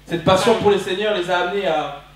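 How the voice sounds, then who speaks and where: A man's voice: high voice, fast. A man in his twenties from Belgium preaching a passionate, emphatic sermon that leans on repeated phrases. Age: 20s. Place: church hall during a service. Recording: very echoey church hall, distant mic.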